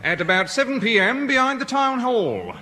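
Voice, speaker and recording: An actor playing a polite but officious, slightly weary police sergeant in a British radio sitcom. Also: male voice, extremely high pitch, very animated, normal speed, pushed and bright, full character voice. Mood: patient, insistent. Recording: echoey hall, old radio recording.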